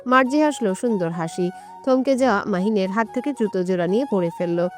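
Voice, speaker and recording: A woman narrates a romantic story in scripted audio-fiction style. Her voice sits at a middle pitch, with music playing softly behind it. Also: quick pace. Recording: music bed under the voice.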